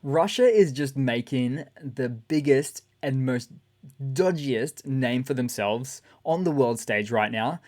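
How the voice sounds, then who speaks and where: A man with a raspy voice hosting a casual, sarcastic news commentary. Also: medium pitch, animated, takes it slowly, darkish tone. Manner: sarcastic, chatty. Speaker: channel host.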